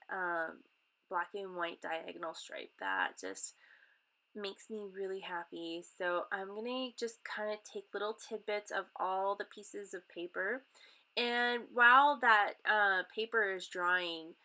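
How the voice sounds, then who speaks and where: Middle-aged woman, bright voice, nasal from a cold, rambling casual craft narration. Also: fairly low pitch. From Canada. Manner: chatty, rambling, many ums. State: stuffy nose from a cold. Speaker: craft vlogger.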